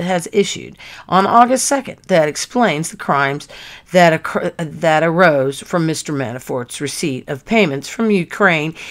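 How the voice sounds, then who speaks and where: Woman, slightly low voice, dramatic transcript reading, legal dialogue, occasional stumbles and repeats. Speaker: reader.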